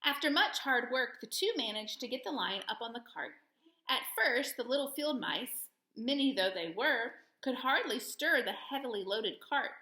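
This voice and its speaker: A woman reads a children's story aloud with expressive, animated delivery. Her voice is fairly high and bright, and the room sounds echoey. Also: moderate pace.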